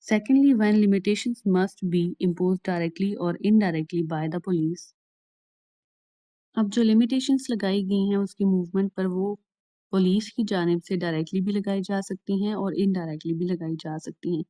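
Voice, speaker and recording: A woman with a Pakistani accent giving an explanatory law lecture in a deliberate, teacherly way, restating each point plainly. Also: medium pitch, dark tone, no echo, poor audio. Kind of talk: explanatory lecture mixing English legal terms with Urdu.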